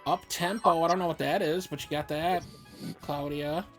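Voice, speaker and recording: A woman's voice: sits low, fairly even intonation, normal speed. Casual woman gamer over faint music. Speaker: game player. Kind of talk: casual gameplay chat.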